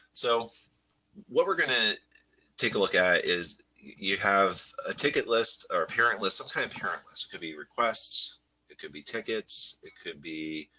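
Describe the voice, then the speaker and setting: A man with a head cold and a slightly nasal voice, presenting a live software webinar in a relaxed, rambling way full of fillers. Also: sits low, rough recording. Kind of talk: live webinar walkthrough with screen share.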